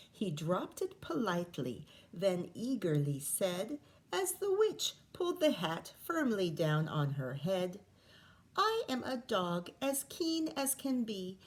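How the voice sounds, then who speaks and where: A woman teacher reading a children's story aloud expressively, with explanatory asides and questions to her listeners. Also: lowish voice.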